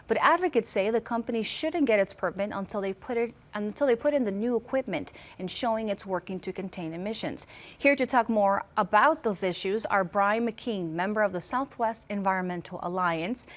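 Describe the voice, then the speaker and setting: A woman hosting a television news program, reading an introduction and interviewing guests in a measured anchor delivery. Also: medium pitch. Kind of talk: scripted news presentation and interviewing. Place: television studio.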